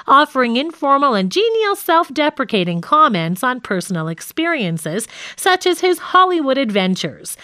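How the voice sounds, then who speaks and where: A woman's voice: a little high, fairly bright tone, no echo, studio quality. A woman reading a nonfiction audiobook as scripted narration. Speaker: audiobook reader.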